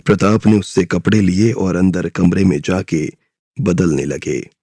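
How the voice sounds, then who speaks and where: A man narrating an audio drama in a steady storytelling style. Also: sits low, unhurried, gravelly, dry recording, clean studio sound.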